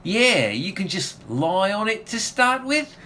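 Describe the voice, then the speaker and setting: Ator fazendo um jovem surfista galanteador, entonação muito variada. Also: male voice, high-pitched, big pitch swings, takes it slowly, bright, forceful tone, exaggerated voice. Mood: bemused. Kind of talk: audio comedy radio play acting.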